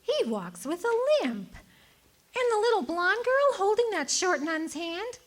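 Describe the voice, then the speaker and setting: An actress plays a nosy, judgmental small-town wife in a radio drama. Her voice is high and highly animated, with folksy gossiping delivery. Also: takes it slowly, heavily acted. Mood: nosy, disdainful. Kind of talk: radio play acting.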